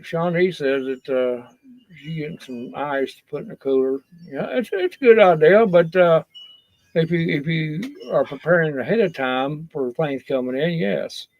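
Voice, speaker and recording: A man's voice: a little high, normal speed, no echo. A man giving a casual, rambling safety talk in a folksy, earnest and blunt manner. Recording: dull, lo-fi sound.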